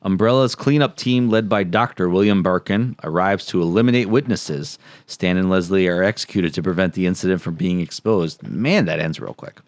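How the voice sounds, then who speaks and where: A man with a lowish voice speaks in a dry recording with no room sound. He co-hosts a casual podcast, moving between read-out summaries and joking, wry remarks. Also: moderate pace, no echo. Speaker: podcast co-host.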